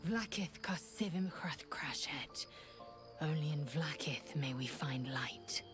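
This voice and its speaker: Haughty alien warrior woman, really low voice, clipped and intense, slow, music behind. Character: fierce, proud young githyanki warrior woman, zealous and haughty. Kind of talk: dramatic video game acting. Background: background music.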